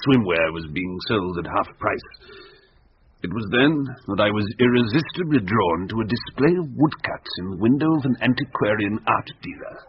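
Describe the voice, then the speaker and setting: Actor as haunted, pompous Victorian gentleman, low-voiced. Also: expressive, normal speed, natural voice. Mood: grave storytelling. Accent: upper-class English. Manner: pompous, florid, theatrical diction. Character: agitated older Victorian gentleman recounting his haunted obsession with cakes. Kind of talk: comic gothic radio drama acting. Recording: muffled, noisy old radio recording.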